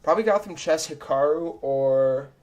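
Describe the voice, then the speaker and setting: A man's voice: a little high, normal speed, darkish tone. Chatty young man breaking down chess moves. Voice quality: slightly raspy. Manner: chatty and self-critical, thinking aloud move by move. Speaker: chess streamer. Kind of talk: casual live commentary reviewing his own game. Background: faint background music.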